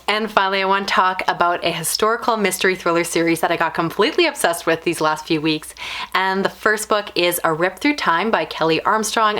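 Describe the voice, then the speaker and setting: A woman with a medium-pitched voice talks casually to her audience as a book reviewer. She is conversational and candid, softening her opinions with loose, informal phrasing. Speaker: book reviewer and channel host.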